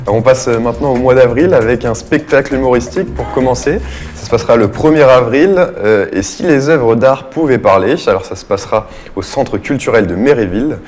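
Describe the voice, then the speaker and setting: A man's voice: medium pitch, brisk, darkish tone, faint music behind. A man hosting a radio interview, introducing events and asking questions.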